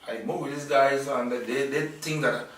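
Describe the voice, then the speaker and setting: A man's voice: medium pitch, steady tone, fairly bright tone, slight background noise. Nigerian-born man, echoey and distant. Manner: halting, many fillers and restarts. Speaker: interviewee, businessman. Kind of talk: casual interview answers. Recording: echoey room, mic at a distance.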